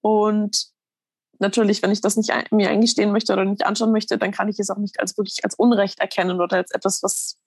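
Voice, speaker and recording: This is a woman, a little hoarse, speaking as a musician guest in a relaxed interview. She talks earnestly, with plenty of fillers and restarts. Her voice sits at a middle pitch and sounds dry and close. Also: fast, dry recording. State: slightly hoarse. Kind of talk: casual interview talk.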